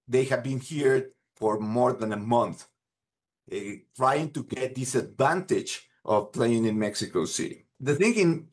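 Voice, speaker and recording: A man explains sports science in an interview. He hesitates often and restarts his sentences, at a mid pitch. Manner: hesitant, many fillers and restarts.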